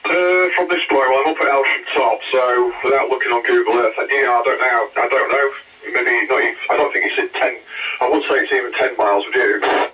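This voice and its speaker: A man's voice: medium pitch, fairly quick. A man chatting casually over a CB radio transmission. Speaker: amateur CB radio operator. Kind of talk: casual two-way radio chat. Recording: dull, distant CB radio transmission. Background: radio transmission from a parked car.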